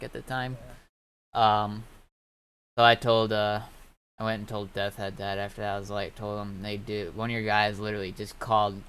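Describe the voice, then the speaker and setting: A man who streams, talking casually in a halting, rambling way and often backtracking mid-sentence. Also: on the low side, a bit bright, smooth. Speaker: streamer and roleplay server founder. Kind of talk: casual livestream chat.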